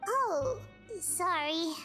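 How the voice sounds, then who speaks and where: A woman voicing a small, childlike female companion in a video game, curious, excitable and skeptical, with expressive exclamations. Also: extremely high pitch, drawn-out words, exaggerated voice. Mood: apologetic. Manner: expressive, exclaiming, refers to herself in third person. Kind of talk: animated video game voice acting. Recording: music playing behind the voice.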